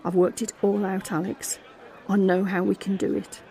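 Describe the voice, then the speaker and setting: Woman voicing a mellow, bitter middle-aged mother. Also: medium pitch, little pitch movement, normal speed, some chatter behind, close to an everyday voice. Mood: grim resolve. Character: Alex's mum, middle-aged, bitter, determined, grieving wife. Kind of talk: quoted dialogue in an audio drama monologue.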